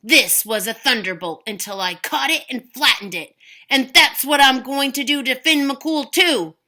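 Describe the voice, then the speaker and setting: A woman's voice: high-pitched, unhurried, sharp tone, pitched above the performer's own voice, full character voice. A woman voicing a huge, boastful, gluttonous male giant who bellows and blusters. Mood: blustering.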